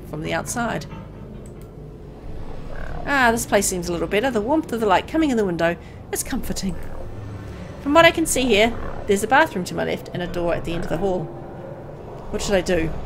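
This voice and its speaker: A woman reading a visual novel aloud as dramatic scripted narration, with an expressive storytelling delivery. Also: medium pitch, background noise.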